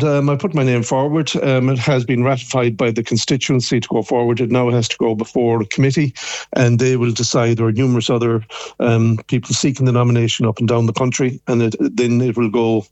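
An Irish local councillor speaking on a phone interview, halting, with many ums and restarts. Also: male voice, mid-range voice, darkish tone, dry recording, dull audio. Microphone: phone line.

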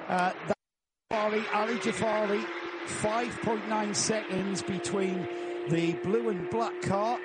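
A man calls a motor race live in a fairly high voice. He describes the action conversationally, with loud vehicle noise running behind him. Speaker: lead motorsport commentator.

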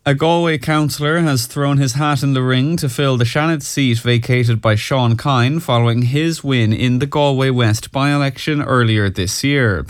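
A man presenting the morning news on regional radio, reading headlines in a measured, formal way and then questioning a guest. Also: mid-range voice, no room sound, clean studio sound. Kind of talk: news bulletin reading and phone interview. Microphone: studio microphone.

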